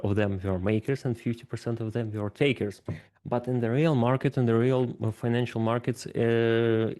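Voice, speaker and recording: A man speaks at a tech event in a mellow, darker voice. His pitch is in the middle range. He thinks out loud and walks through his points one at a time. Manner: thinks aloud, explains step by step. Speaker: conference speaker. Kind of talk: technical conference talk. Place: event stage.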